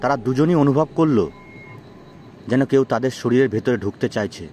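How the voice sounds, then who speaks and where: A man's voice: mid-range voice, moderate pace, warm tone, a little hiss or hum. A man narrating a suspense horror story in a dramatic storytelling style. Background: background music.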